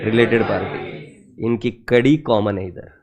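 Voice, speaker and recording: A man's voice: fairly low pitch, a little slow, crowd noise. A man lecturing an accounting class, mixing Hindi and English and constantly firing rhetorical questions at his students. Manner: lively teacher who keeps quizzing students with rhetorical questions; teasing and playful with students.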